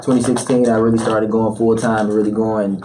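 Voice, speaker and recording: A man's voice: on the low side, level tone, moderate pace, mellow, far from the mic, slight background noise, low-quality recording. A young man born in Chicago and raised around Atlanta, a rapper talking loosely in a podcast studio interview with lots of filler words. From Atlanta area, born in Chicago. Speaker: rapper guest. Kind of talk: casual interview chat. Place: small podcast studio with foam panels. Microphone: studio mic on boom arm.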